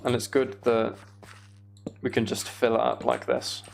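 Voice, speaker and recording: A man's voice: mid-range voice, little pitch movement, unhurried, vocal fry. A British man chatting casually on a livestream while he plays a game, rambling and thinking aloud. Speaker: streamer.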